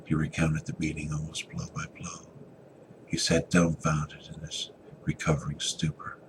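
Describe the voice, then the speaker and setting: A man's voice: rumbling low, monotone, normal speed, noisy background. A man narrating a short story as a dramatic reading, with a theatrical delivery.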